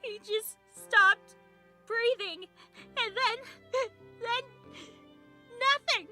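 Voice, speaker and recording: A woman voicing a fragile, grief-stricken girl in a fan audio drama, halting and tearful. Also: extremely high pitch, bright, forceful tone, soft background music, heavily acted. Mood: grieving.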